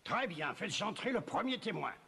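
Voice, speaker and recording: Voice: medium pitch, sing-song, moderate pace, creaky, bright, forceful tone, slightly rough, full character voice. An actor voicing a pompous, blustering king who sits as judge, hemming between pronouncements in a cartoon. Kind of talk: French-dubbed cartoon acting.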